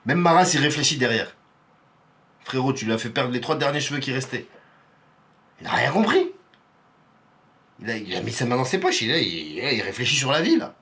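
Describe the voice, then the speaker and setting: A man's voice: mid-range voice, sing-song, moderate pace, long pauses, a bit bright. A man from Paris giving live reaction commentary on a rap battle, exclamatory and teasing. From Paris, France.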